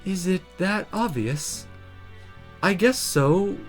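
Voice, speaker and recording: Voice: medium pitch, expressive, takes it slowly, soft background music. A game streamer voicing a stern female mage teacher from a visual novel, subdued and resigned. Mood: dejected.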